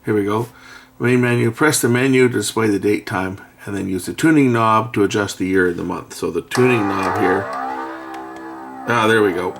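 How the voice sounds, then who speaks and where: Gravelly low-voiced man demoing a gadget. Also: faint music behind. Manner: thinking aloud while pressing buttons, unhurried. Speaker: product reviewer. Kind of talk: casual hands-on product demo. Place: indoors at a workbench.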